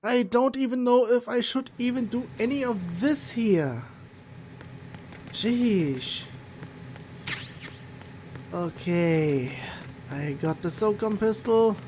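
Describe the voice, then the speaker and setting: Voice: medium pitch, takes it slowly, long pauses, darkish tone, slight background noise. A game streamer giving casual, rambling, hesitant commentary while playing.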